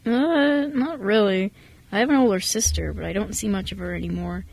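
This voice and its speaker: A husky, creaky voice from a woman playing a kindly male mechanic in his thirties for a radio play. He talks casually and a little awkwardly, hesitant and self-effacing. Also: mid-range voice, moderate pace, darkish tone. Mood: mild, wistful. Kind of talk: amateur radio drama dialogue.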